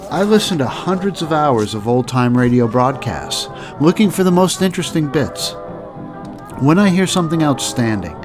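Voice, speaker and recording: Man, mid-pitched voice, scripted podcast narration, announcer-like phrasing, clear music bed. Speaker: podcast host.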